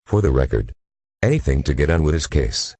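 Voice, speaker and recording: Impatient, pompous district attorney played by a man, extremely low and somewhat husky, formal courtroom radio drama. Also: sing-song, a little fast, mellow, no room sound, exaggerated voice. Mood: sarcastic. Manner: formal, argumentative, sarcastic. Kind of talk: radio play courtroom acting.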